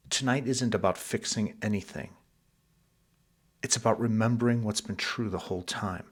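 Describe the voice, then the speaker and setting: A man with a lowish voice narrates a guided sleep hypnosis. His delivery is very slow and soothing, with deliberate, measured phrasing. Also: husky.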